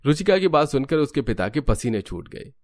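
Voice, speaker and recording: A man's voice: fairly high pitch, big pitch swings, brisk. A man giving scripted storytelling narration for a cartoon.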